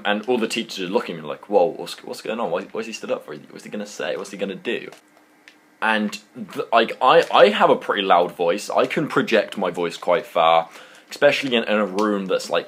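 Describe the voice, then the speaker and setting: A young man with a British accent and a low-pitched voice tells an anecdote to his viewers. His delivery is casual and animated, full of slang, and it rambles along like a chat with friends. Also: a little hiss or hum. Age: young adult. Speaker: YouTuber telling a story.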